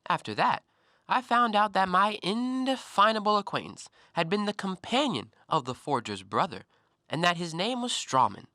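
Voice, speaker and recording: A man acting an animated, needling intellectual. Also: high-pitched, normal speed, no echo, studio quality, heavily acted. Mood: reflective storytelling. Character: probing, self-assured male intellectual, talkative and needling. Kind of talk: stage-style audio play monologue.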